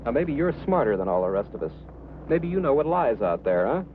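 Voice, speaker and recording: A man's voice: mid-range voice, sing-song, brisk, lightly acted. An actor playing a brash, challenging man in a vintage American film drama. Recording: tinny old film soundtrack, faint noise.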